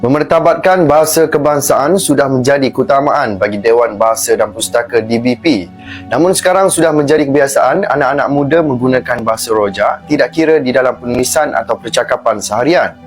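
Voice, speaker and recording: A man's voice: mid-range voice, a little fast, faint music behind. A man with a Malaysian Malay accent delivering a formal news report.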